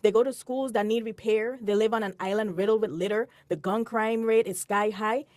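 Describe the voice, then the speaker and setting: A woman's voice: medium pitch, fairly even intonation, a little mellow, natural voice. Woman acting an emphatic woman doctor in a reverberant hall. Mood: weary, indignant. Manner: emphatic, deliberate, repeating for emphasis. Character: earnest, weary woman doctor advocating for abused children. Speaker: doctor, conference speaker and radio host. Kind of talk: radio drama acting, public address.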